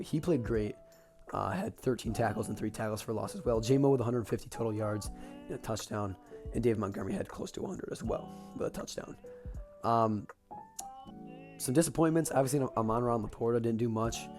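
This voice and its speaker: A young man with a raspy voice, getting over an illness, excitedly recapping a football game as a podcast host, with casual fillers. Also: fairly low pitch. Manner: excited fan talk with fillers.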